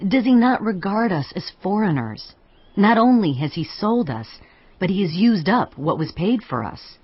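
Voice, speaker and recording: Casting: aggrieved wife for scripture drama, husky delivery, very dry sound. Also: female voice, medium pitch, sing-song, normal speed, dry recording. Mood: resentful. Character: aggrieved wife, resentful daughter of a greedy father. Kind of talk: dramatized audiobook acting. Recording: dull, dry studio recording.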